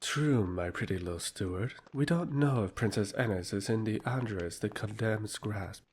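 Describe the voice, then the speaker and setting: A man playing a scheming, authoritative royal emissary in a fantasy audio drama, speaking in a formal, measured way. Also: on the low side, darkish tone, slight background noise, close to an everyday voice. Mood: calculating, commanding.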